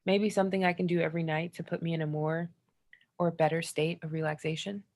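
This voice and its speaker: A woman reads written dialogue from a book aloud. Her voice sits low for a woman and has a marked creak running through it. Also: little pitch movement, quick pace, a little mellow. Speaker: reader of a book chapter.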